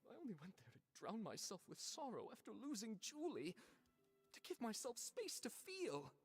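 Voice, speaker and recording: Husky, wildly theatrical male artist. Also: fairly high pitch, big pitch swings, normal speed, faint music behind. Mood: dramatic. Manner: pompous, theatrical. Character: vain melodramatic theatrical artist, Mister Leon, male. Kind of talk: game voice acting.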